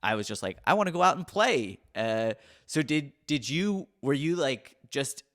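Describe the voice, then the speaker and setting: A man's voice: medium pitch, big pitch swings, moderate pace, fairly bright tone. A man hosting a podcast, asking long, rambling questions full of fillers. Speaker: podcast host. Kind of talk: casual interview.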